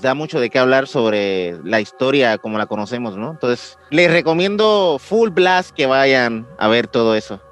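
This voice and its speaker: A man's voice: medium pitch, a bit bright, smooth. A man, co-hosting a podcast in casual chat. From Puerto Rico. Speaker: podcast co-host.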